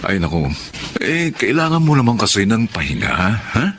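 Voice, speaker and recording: A protective Filipino big brother in a drama, frank and preachy as he advises. His low voice moves in very lively swings, with faint noise behind it. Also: moderate pace. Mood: caring, advising. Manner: admonishing, explains by examples and rhetorical questions. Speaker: actor in a drama. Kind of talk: conversational Filipino drama acting with English phrases.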